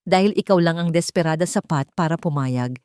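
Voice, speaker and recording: A woman's voice: low voice, brisk, a little mellow, smooth, dry recording, natural voice. A woman narrator plays a cold billionaire man in a romance audio story. He is curt, businesslike and commanding, with desperation underneath, in a dry recording. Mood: blunt bargaining.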